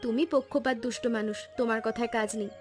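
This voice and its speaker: A woman voicing a modest, practical, kind village wife in a cartoon story, matter-of-fact and gently teasing. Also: a little high, steady tone, a little fast, soft background music, natural voice. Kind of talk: Bengali cartoon story voice acting.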